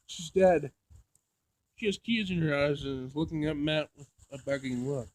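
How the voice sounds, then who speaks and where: A man playing through a visual novel, reading it aloud in an emotional, halting way with dramatic outbursts. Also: fairly high pitch, no room sound. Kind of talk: let's play narration with loud reactions.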